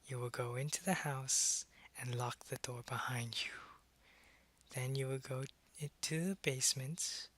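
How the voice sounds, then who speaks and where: A man playing a suave, possessive, centuries-old vampire in an ASMR roleplay, taunting and condescending, often in a breathy half-whisper. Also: medium pitch, steady tone, a bit bright. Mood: commanding, calm. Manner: slow, deliberate, taunting, condescending.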